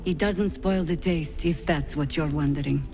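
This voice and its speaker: A woman playing a wary, battle-worn older druid who leads a resistance in a video game, guarded, deliberate and commanding. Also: sits low, over music. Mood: wary, probing. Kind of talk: dramatic video game acting.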